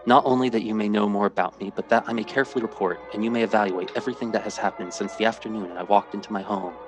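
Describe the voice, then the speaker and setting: Man acting grave, low-voiced radio drama narrator. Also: fairly even intonation, music in the background, natural voice. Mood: grave, reflective. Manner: measured, grave, deliberate. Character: sober, ordinary math teacher recounting an alien abduction. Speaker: lead actor.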